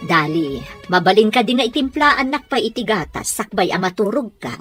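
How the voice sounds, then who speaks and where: A woman's voice: mid-range voice, big pitch swings, normal speed, music in the background, natural voice. A woman playing an anxious mansion mistress and mother in an Ilocano audio drama, fretful and questioning.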